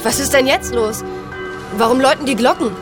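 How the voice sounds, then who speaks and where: Woman playing a wary female survivor, blunt, urgent, lightly high voice, horror radio play, music underneath. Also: brisk, close to an everyday voice. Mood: questioning, wary. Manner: blunt, questioning. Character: sceptical, urgent female city newcomer surviving an outbreak. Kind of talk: horror radio drama dialogue.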